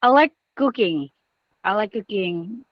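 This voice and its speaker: A woman student with an Indonesian accent answers slowly in hesitant English, pausing often on fillers. Her voice comes through a dry, dull video call line. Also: mid-range voice, animated, no echo.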